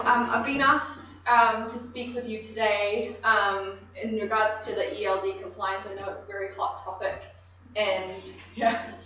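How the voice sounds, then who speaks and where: A woman's voice: mid-range voice, a bit bright, slight background noise. New Zealand woman presenting in an echoey hall. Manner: measured, many ums, explanatory. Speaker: conference presenter. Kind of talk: technical presentation. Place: conference room. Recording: echoey room, distant mic, dull.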